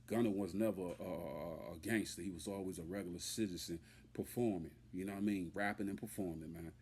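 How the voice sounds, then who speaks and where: A man's voice: low-pitched, fairly quick, darkish tone, a little hiss or hum. A man giving an unscripted, emphatic and indignant opinion rant as a hip-hop commentator, repeating himself and using street slang.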